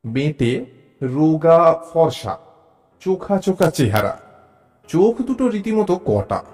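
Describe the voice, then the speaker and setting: A man narrating a scripted audio story in a measured, expressive storytelling manner. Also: on the high side. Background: musical background.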